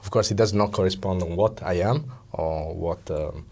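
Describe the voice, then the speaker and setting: Low-voiced Italian man, hesitant phrasing. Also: normal speed, a little mellow, breathy edge. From Italy. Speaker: interview guest, psychology coach. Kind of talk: conversational interview explanation.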